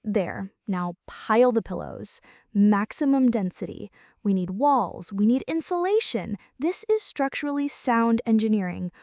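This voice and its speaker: A woman's voice: medium pitch, very animated, unhurried, a little mellow, no echo, clean studio sound. A woman playing a flirty, playfully bossy friend in an intimate, scripted ASMR roleplay, speaking straight to the listener with mock-dramatic exaggeration. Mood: playfully insistent.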